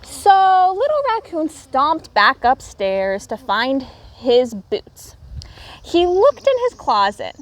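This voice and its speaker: Slow, high-voiced woman reading a children's story. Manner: animated storytelling with emphatic, deliberate phrasing. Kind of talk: expressive read-aloud of a picture book for young children.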